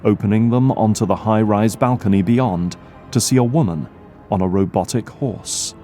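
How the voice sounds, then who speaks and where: A man's voice: lowish voice, normal speed, dark tone, faint music behind. A man reading scripted dramatic narration as the narrator of an audio drama.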